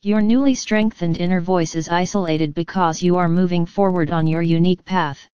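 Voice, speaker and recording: Woman reading spiritual stuff, calm and earnest, super smooth voice, dry close mic, bit muffled. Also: fairly low pitch, normal speed, dry recording. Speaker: narrator reading a channelled spiritual message. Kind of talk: scripted spiritual narration. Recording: slightly dull, dry lo-fi voice-over.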